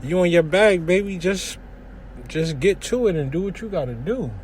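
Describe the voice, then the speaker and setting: A young man from hosting a casual solo podcast from his kitchen, giving news commentary in a relaxed, slangy way with lots of shout-outs. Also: sits high, normal speed, a little hiss or hum. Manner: laid-back slangy talk with frequent shout-outs. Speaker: podcast host.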